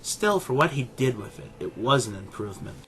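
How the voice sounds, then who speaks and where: A man playing an arrogant, condescending middle-aged animal scientist and father in a scripted audio drama, speaking in a pompous, deliberate way. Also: mid-range voice, lively intonation, a bit bright, slight background noise, natural voice. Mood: detached, proud.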